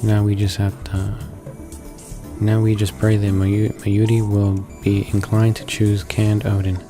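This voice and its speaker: A man reading visual novel text aloud in a halting way, stumbling over words. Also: lowish voice, monotone. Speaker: let's play reader. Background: game music.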